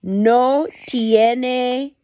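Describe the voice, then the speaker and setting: A woman's voice: fairly high pitch, fairly even intonation, very slow, dark tone, clean tone, no room sound. A mother reading a book aloud to her children at home, stopping often to comment and explain. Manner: chatty, stops to comment and explain to listeners.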